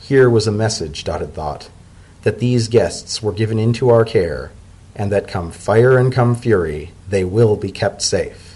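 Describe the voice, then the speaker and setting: A man's voice: lowish voice, animated, a little slow, warm tone, breathy edge, rough recording. A man narrating a story as a dramatic reading.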